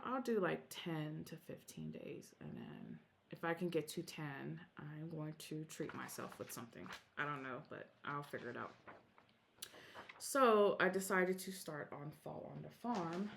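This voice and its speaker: Korean-first-language woman rambling about her stitching, slow and kinda gravelly. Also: lowish voice, slight background noise. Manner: chatty, rambling, self-interrupting. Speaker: Flosstube vlogger. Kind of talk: casual show-and-tell vlog.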